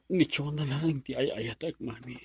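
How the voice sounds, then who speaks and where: A drunk, lovesick young Filipino man in a radio drama. He is stubborn and sulky, with a husky, mid-pitched voice recorded dry. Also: a little slow, no room sound. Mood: sulky, heartbroken. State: acting drunk.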